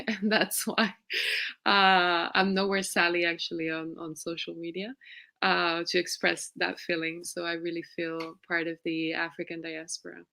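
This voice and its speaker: A woman from Spain, a film director, talking reflectively about her short film on a video call, with many restarts and soft laughs. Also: fairly low pitch. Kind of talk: festival Q&A interview over video call.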